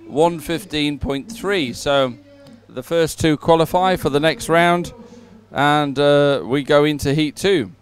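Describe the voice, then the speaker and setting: A man commentating live at a sporting event and reading out results in a hesitant, halting way. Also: a little high, faint music behind.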